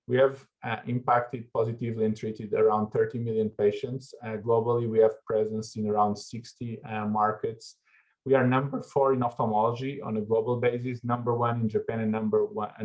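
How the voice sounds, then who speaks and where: A fairly low, flat-voiced man from Portugal delivers a keynote over a video call, pausing on fillers and restarting his phrases. The audio is dull and lo-fi. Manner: measured, with frequent fillers and restarts. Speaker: keynote speaker. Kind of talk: online conference presentation.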